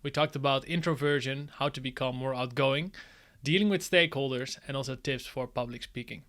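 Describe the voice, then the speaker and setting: A man hosting an interview podcast. His voice sits at an ordinary middle pitch, and he talks in an upbeat, chatty way, laughing easily and trailing off softly at the ends of his questions. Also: brisk. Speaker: podcast host. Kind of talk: casual interview with a scripted intro.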